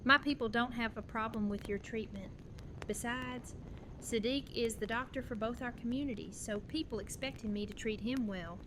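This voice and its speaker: A woman's voice: fairly high pitch, normal speed, background noise, close to an everyday voice. A woman playing a hospitable, assured community leader and mother in an audio drama. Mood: welcoming, assured. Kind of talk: fan audio drama acting.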